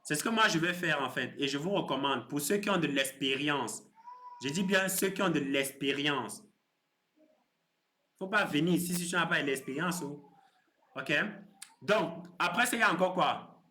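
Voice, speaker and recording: Guy in his 30s running a live stream, bright forward voice, chatty and loose. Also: on the high side, normal speed, slight background noise. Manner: chatty, teasing viewers, then explaining a course calmly. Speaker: crypto live stream host. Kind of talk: casual live stream chat.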